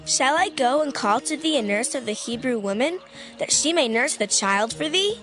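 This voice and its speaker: A woman's voice: high-pitched, animated, normal speed, a bit bright, faint music behind. A woman voicing an eager young Hebrew girl offering help in a dramatized scripture reading.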